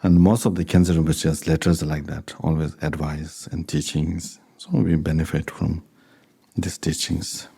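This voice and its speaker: Low, mellow-voiced man teaching with an accent. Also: level tone, gravelly, slightly rough. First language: Tibetan. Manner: unhurried, conversational, chuckles while telling anecdotes. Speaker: Buddhist teacher. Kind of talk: dharma talk with question and answer.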